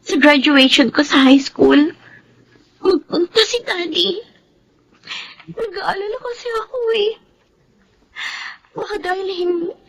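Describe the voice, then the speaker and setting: An actress playing a hurt, dutiful teenage daughter in a Filipino radio drama, with a Filipino accent and an earnest, youthful delivery. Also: female voice, very high-pitched, heavily acted, rough recording. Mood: tearful, worried. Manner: plaintive, earnest.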